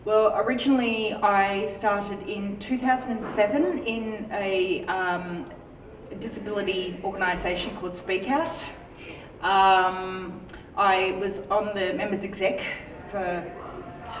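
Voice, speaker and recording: A woman in her twenties with an Australian accent, speaking hesitantly with frequent ums at a middle pitch. She sits away from the microphone in an echoey room with faint background noise. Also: a bit bright. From northern Tasmania, Australia. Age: 20s. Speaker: advocacy group president. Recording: distant mic, echoey room.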